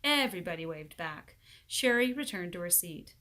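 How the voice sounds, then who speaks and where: A woman reading a children's book aloud as expressive narration, acting out the dialogue in an animated way. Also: lowish voice, normal speed.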